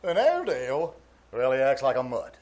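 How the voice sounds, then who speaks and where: A man's voice: mid-range voice, huge pitch swings, brisk, darkish tone. A man playing a pompous, easily flustered middle-aged uncle in 1940s radio comedy style, muttering and sputtering. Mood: exasperated.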